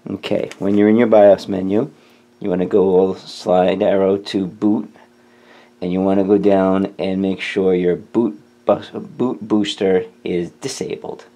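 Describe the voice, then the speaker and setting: A man's voice: sits low, little pitch movement, unhurried, warm tone. A man hosting a tutorial, talking through steps in a casual, informal how-to style. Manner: informal, step-by-step instruction. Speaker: tutorial host.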